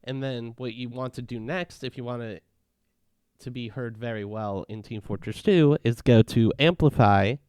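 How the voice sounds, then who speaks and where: A man gives a casual, step-by-step software walkthrough in a smooth, resonant voice. The sound is dry and close, with no room around it. He keeps a relaxed, instructive tone. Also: mid-range voice, no echo. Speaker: tutorial host.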